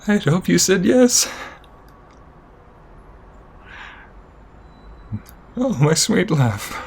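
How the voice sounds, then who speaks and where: A man's voice: sits high, very animated, unhurried, full character voice. A man playing a devoted, sentimental boyfriend in a romantic ASMR roleplay, speaking intimately and earnestly. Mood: overjoyed, tearful. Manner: intimate, earnest, often hushed and breathy.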